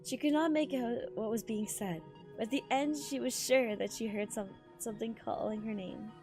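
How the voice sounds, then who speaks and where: A woman with a fairly high voice streams a game, reading and commenting as she goes. She is excitable and tends to exclaim or trip over lines. Faint music plays behind her. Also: moderate pace. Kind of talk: live reading and reaction commentary of a game story.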